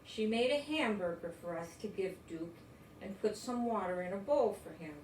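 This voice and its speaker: An older woman from the United States giving an expressive read-aloud of a children's story. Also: medium pitch, moderate pace. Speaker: storybook reader. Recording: roomy, distant mic, faint noise.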